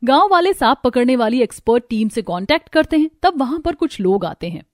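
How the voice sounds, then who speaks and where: High-voiced woman narrating a cartoon story. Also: big pitch swings, a little fast, no echo, clean studio sound, faint music behind. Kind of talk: scripted storytelling for an animated cartoon.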